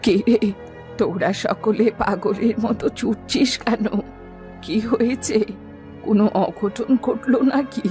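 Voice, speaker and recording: A woman voicing an elderly, alarmed and knowing village woman in a cartoon story, asking things in a slow, drawn-out way. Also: on the high side, mellow, soft background music. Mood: concerned, questioning.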